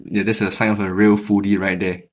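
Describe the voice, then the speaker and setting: Singaporean English male host, lowish voice, chatty and easygoing, laughs often. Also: a little fast. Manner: relaxed, chatty, laughs easily, repeats words. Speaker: podcast host. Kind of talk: casual interview chat.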